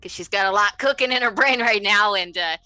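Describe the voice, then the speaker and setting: A woman's voice: mid-range voice, fairly quick, sharp tone, no echo. A woman playing a tabletop game, talking casually and wryly about a character.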